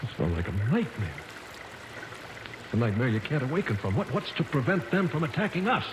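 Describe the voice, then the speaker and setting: A man's voice: mid-range voice, sing-song, fairly quick, close to an everyday voice. An actor playing an anxious male explorer and narrator in an old-time radio drama, with an urgent, questioning delivery. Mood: fearful wonder. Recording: very noisy old radio recording, water sounds.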